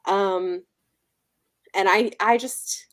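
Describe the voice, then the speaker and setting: A woman's voice: medium pitch, steady tone, extremely slow. Female book club panelist, medium register, conversational and informal. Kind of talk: casual group book discussion.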